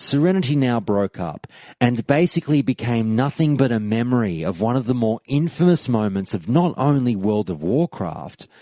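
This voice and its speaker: A man's voice: medium pitch, warm tone, no echo. A man hosting a podcast and reading a scripted history segment with emphatic delivery and rising questions. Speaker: podcast host.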